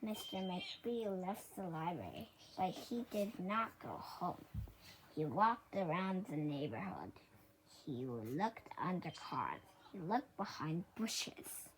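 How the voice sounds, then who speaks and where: A woman reading a children's book aloud in a halting, deliberate way. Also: on the low side, sing-song, slight background noise, rough recording. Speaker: storybook reader.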